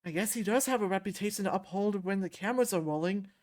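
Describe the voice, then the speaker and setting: A woman reading a visual novel aloud as expressive first-person story narration. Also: medium pitch, quick pace.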